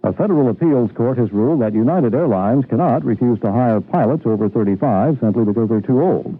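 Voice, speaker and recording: Man, American, radio news anchor, scripted delivery, fast pace, muffled old broadcast. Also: mid-range voice, lively intonation.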